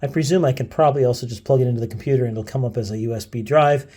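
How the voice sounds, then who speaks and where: A man with a relaxed, mellow voice of average pitch talks through a gadget demo. He is casual and unscripted, often pausing and restarting as he thinks aloud while fiddling with things. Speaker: gadget video host.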